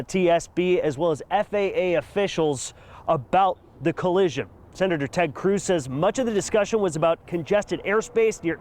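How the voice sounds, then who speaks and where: A man giving a live news report as a field reporter, speaking outdoors. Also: high-pitched. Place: outdoors near a river.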